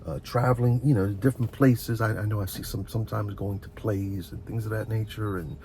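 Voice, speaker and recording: Deep, gravelly man talking off the cuff. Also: steady tone, dark tone, a little hiss or hum. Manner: halting, repeats words and restarts often; earnest, encouraging, direct address to listener. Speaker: video host. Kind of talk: rambling, unscripted advice talk.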